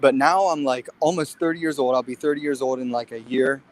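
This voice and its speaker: A man's voice: mid-range voice, steady tone, brisk, a little hiss or hum. A man in his late twenties talking casually and earnestly in a livestream conversation. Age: late 20s. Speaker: fitness guest.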